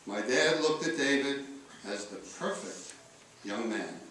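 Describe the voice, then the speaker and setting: A man reading a eulogy aloud in a solemn style, with measured, deliberate phrasing and pauses. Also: medium pitch, animated, a bit bright. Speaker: eulogist reading letters at a memorial. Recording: echoey room, distant mic, faint noise.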